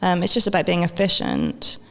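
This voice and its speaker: A woman from Wales, a guest in a relaxed interview, chatty, laughing often and filling her talk with ums and restarts. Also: lowish voice, brisk, in a large hall. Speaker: guest, maker and educator. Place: event venue at a festival.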